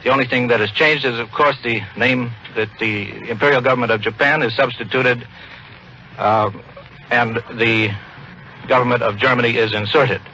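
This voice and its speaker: A man's voice: medium pitch, fairly even intonation, normal speed, bright, forceful tone, highs cut off, slight background noise. An American man reporting live news as a radio correspondent, on a 1940s broadcast.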